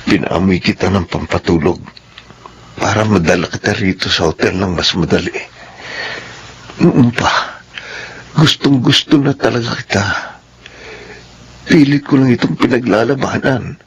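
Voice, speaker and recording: An actor with a Filipino accent playing an obsessed, pushy suitor, menacing yet tender, in a radio drama. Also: male voice, fairly low pitch, a little slow, pauses often, slight background noise. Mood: quietly obsessive.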